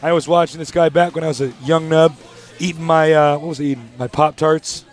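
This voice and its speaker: Man, young adult, esports commentator, hyped, joking, higher-pitched voice, faint music behind. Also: steady tone, brisk. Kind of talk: live play-by-play commentary. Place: packed event studio.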